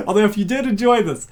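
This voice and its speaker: A mid-pitched voice hosting and narrating a comic dub. The delivery moves between storybook-style narration and casual, jokey asides that tend to ramble. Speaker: host, narrator and comic dubber.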